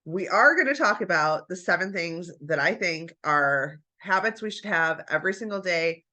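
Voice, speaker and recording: A woman vlogger with a bright, forward tone sitting a little low in pitch. She talks casually and warmly to her viewers, encouraging them along. A stuffy nose from a recent flu colors her voice. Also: expressive, normal speed. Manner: chatty, encouraging, addresses viewers as you guys. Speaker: homemaking vlogger. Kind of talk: casual chat to camera.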